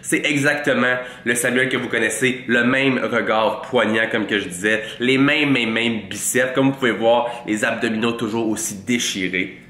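A man's voice: medium pitch, moderate pace, pushed and bright. Quebecois guy in his 20s, stuffy cold voice, joking and bragging for fun, big mic, roomy echo. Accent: Québécois French. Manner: playful, mock-boastful. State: congested with a cold. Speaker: fitness vlogger. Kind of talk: joking tutorial to camera. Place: small room with a green-lit wall. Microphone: large studio mic in front.

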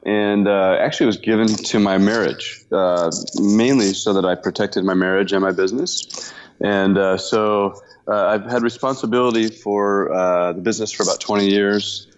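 A low-voiced man in his fifties talks haltingly, restarting sentences and filling pauses. He speaks plainly and modestly about himself. The audio is dull and muffled, like a remote call. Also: steady tone. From Washington state, US. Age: 50s. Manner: halting, many fillers and restarts, self-deprecating. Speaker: business owner, guest. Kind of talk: casual interview talk. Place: his workshop.

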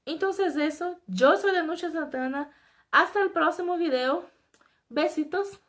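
A woman's voice: very high-pitched, measured pace. A young woman from Brazil speaking Spanish with a Brazilian Portuguese accent, chatting to camera in a casual, self-mocking vlog from a small room. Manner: chatty, self-mocking, animated faces and gestures. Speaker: vlogger. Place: small indoor room before a flag.

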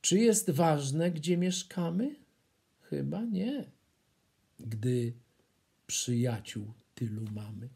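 Man playing a gentle, husky city rabbit. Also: a little high, very animated, extremely slow, long pauses, full character voice. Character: grateful visiting city rabbit, male.

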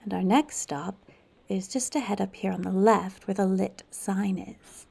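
A woman tour guide giving measured, informative walking tour narration with a lightly wry touch. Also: mid-range voice, creaky, a little mellow, slightly rough.

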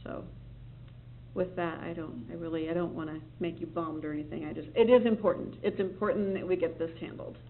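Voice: mid-range voice, fairly even intonation, a little fast, slight background noise. A city staff member briefing a board at a public meeting, rambling and correcting themself while sounding reassuring. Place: meeting room. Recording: tinny, lo-fi meeting recording with faint ambience.

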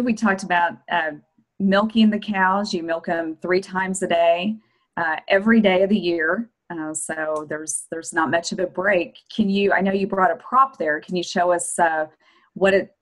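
A woman's voice: lowish voice, steady tone, normal speed. A woman in her forties with an American accent, casually hosting a virtual tour on a video call and putting questions to guests. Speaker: host and moderator of a virtual farm tour. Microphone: computer mic on a video call.

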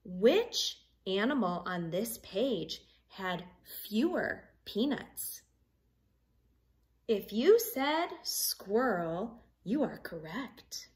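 A woman in her thirties reading a picture book aloud to children like a teacher, in an expressive sing-song way, pausing to put simple questions to them. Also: medium pitch. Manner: expressive, sing-song storytelling with questions addressed to young listeners. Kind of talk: children's read-along with simple math questions. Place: living room at home.

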